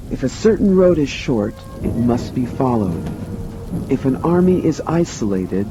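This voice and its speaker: A man with a medium-pitched voice narrates a dramatized audiobook. His delivery is formal and expository, with music clearly playing behind him. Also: poor audio.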